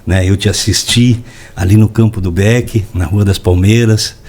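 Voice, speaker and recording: A man's voice: lowish voice, fairly even intonation, moderate pace, darkish tone. A man hosting a casual podcast interview, friendly and admiring, often ending his remarks with tag questions. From Blumenau, southern Brazil. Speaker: podcast host.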